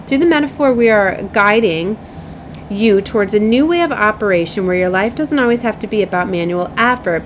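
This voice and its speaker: Woman w a highish voice doing a spiritual card reading, kinda solemn, bit of noise in the background. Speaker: tarot and oracle card reader. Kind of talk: spiritual reading, read aloud with asides.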